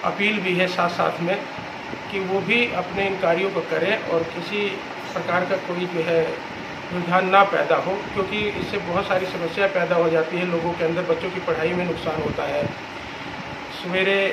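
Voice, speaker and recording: Male temple spokesman, notably high voice, halting statement delivered phrase by phrase amid very loud background noise. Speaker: temple representative giving a statement. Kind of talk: spoken public statement and appeal. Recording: echoey, very noisy on-location recording.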